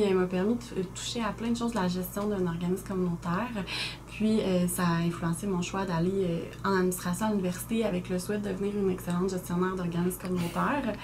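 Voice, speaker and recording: A woman's voice: medium pitch, darkish tone. Earnest young Québécois woman, noisy line. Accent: Québécois French. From Quebec. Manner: earnest and articulate, with frequent "euh" pauses. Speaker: guest, new executive director. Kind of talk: radio interview. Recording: noisy community radio studio.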